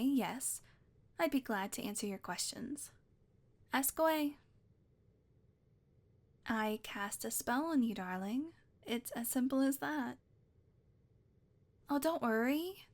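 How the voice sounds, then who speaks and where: A young woman voicing an obsessive, possessive witch in an audio roleplay, talking sweetly and coaxingly and often dropping to a whisper. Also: a little high. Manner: sweet, coaxing, softly controlling, slips into whispers.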